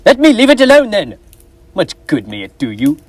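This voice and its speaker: A young man acting as a miserly, bitter old man in a school stage play, gruff and contemptuous. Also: sits high, huge pitch swings, normal speed, no room sound, heavily acted. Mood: scornful. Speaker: stage actor.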